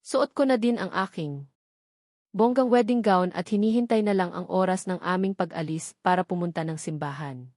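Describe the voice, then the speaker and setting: A woman's voice: on the low side, moderate pace, darkish tone, dry recording, clean studio sound. A woman with a Filipino accent reading a scripted first-person romance story as an audiobook narrator.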